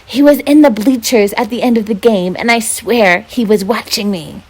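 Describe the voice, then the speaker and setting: A woman's voice: fairly high pitch, normal speed, a little mellow, slight background noise, natural voice. A woman reading as a protective, anxious and sardonic seventh-grade girl in a children's novel. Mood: uneasy. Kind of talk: children's novel read-aloud.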